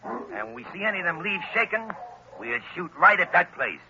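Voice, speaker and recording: A man's voice: high-pitched, animated, a little fast. An actor playing a cold, commanding male gang boss in a 1940s radio drama. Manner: gruff, commanding. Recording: dull lo-fi old radio recording with hiss.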